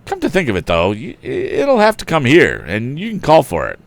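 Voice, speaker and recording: A man's voice: mid-range voice, wildly swooping pitch, normal speed, creaky, no room sound, pitched above the performer's own voice. A man voicing an obliging small-town post office clerk in a storybook, folksy and businesslike.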